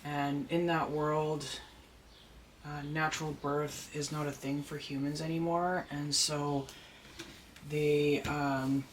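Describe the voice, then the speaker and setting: A deep-voiced person chatting casually while unboxing a doll at home, rambling and gushing with excitement. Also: takes it slowly. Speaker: doll collector and vlogger. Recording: noisy home recording.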